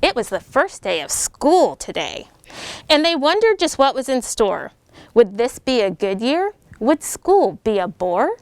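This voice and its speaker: A middle-aged American woman, a military officer, reading a children's picture book aloud with lively, animated delivery and voiced character lines. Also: a little high, unhurried, no echo. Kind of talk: storybook read-aloud.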